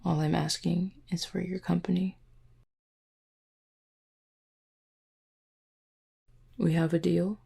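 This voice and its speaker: A woman voicing a flirty, composed female werewolf second-in-command in an audio roleplay, teasing and measured, with a low, husky voice. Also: flat delivery, warm tone, exaggerated voice. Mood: persuasive. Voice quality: low, husky, breathy with some creak. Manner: teasing, measured, deliberate wording. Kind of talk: roleplay audio drama, intimate one-sided dialogue.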